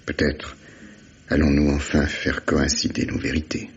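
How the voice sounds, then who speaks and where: An actor playing a gruff, weary, relentless police detective in 1950s radio mystery style, questioning with menacing, mock-fatherly insistence. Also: very deep voice, flat delivery, unhurried, background noise, full character voice. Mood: mock-paternal, controlled. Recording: dull vintage radio drama recording.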